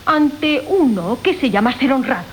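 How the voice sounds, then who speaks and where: An actress playing a haughty, flirtatious, sharp-tongued widow in a theatrical radio comedy, tart and imperious, with a Castilian accent. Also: female voice, sits high, big pitch swings, normal speed. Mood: grasping, haughty. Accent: Castilian Spanish. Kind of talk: theatrical Golden Age comedy radio acting. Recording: hissy 1980 radio drama recording.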